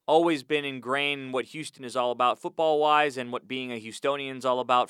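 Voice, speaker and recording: A man's voice: fairly high pitch, a bit bright, smooth, no room sound, clean studio sound. A man hosting a sports podcast from a small audio booth, chatty and upbeat with rambling asides. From United States. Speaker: podcast host. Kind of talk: casual podcast intro and interview.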